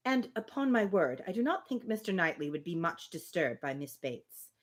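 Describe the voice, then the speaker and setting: A woman reading a gently persistent married woman from a Regency novel, formal, teasing and persuasive. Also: lowish voice, very animated, moderate pace, voice pushed down. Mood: gently chiding.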